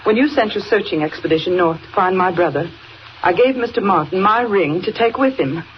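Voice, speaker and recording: A woman's voice: medium pitch, animated, fairly quick, lightly acted. An actress playing a worried, polite sister of a missing man in 1940s radio style, hesitant and earnest. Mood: anxious, polite. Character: anxious, polite twin sister of missing explorer. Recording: muffled, noisy 1940 radio recording.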